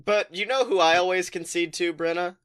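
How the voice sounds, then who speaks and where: Bright-voiced man hosting a podcast. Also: high-pitched, brisk, clean tone. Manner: jokey, informal. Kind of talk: casual chat.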